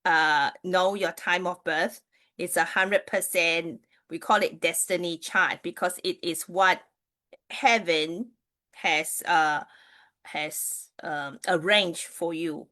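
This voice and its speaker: A woman's voice: fairly low pitch, fairly bright tone. A woman from Malaysia whose first language is Mandarin Chinese, hosting a casual livestream and chatting with viewers, with many fillers and restarts. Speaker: livestream host, Chinese astrology reader.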